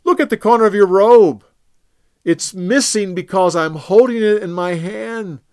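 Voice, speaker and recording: A man's voice: sits high, a little slow, darkish tone, clear, resonant tone, no room sound, studio quality, pitched above the performer's own voice. A man voicing a young male warrior who calls out earnestly and pleads with his king. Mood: pleading.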